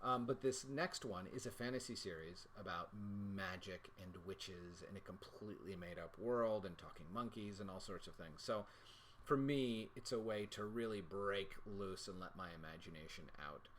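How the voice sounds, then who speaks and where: A man's voice: deep voice, moderate pace, a bit bright, a little hiss or hum. A middle-aged man co-hosting a casual livestream chat, talking in a playful, mock-serious and wordy, wry way. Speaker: children's author and co-host of a readalong show.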